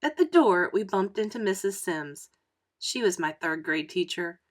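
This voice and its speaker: A woman storyteller narrating a children's book aloud in an expressive style. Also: lowish voice, moderate pace. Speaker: read-aloud storyteller, teacher.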